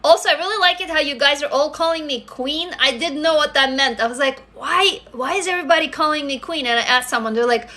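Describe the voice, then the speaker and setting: Bright Russian-accented woman, forties. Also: sits high, fast talker, hardly any pauses. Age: 40s. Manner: candid, swears freely, chatty asides to viewers. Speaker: livestream host. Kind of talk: casual livestream chat. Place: indoor room at a desk. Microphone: phone camera close up.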